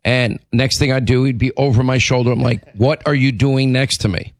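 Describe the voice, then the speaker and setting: A middle-aged man hosting a talk radio show with a hoarse, raspy voice from laryngitis, clearing his throat and talking emphatically. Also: mid-range voice, fairly even intonation, normal speed, dry recording. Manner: emphatic, repetitive, combative. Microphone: studio microphone.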